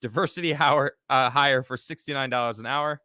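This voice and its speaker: A man's voice: medium pitch, brisk, sharp tone, no room sound. A man from Chicago hosts a loose live-stream chat, laughing a lot as he reads out viewer comments. His voice sits in a middle range, and the recording is close and dry, with no room sound. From Chicago, United States. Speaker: talk show host.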